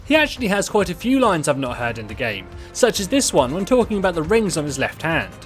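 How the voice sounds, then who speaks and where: A man's voice: on the high side, sing-song, a little fast, soft background music. A man hosting and narrating a scripted video. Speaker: host and narrator.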